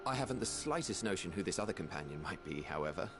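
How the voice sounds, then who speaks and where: An actor playing a thoughtful male scholar in a game cutscene, speaking in a measured, formal way. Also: deep voice, expressive, music in the background. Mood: musing.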